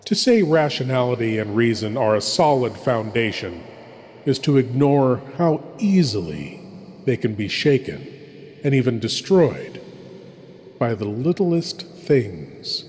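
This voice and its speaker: A man playing a sinister, theatrical horror host, delivering a grandiose and intimate scripted introduction in a deliberate, dramatic manner. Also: medium pitch, very animated, a little mellow. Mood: ominous, musing. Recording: long hall reverb, faint background noise.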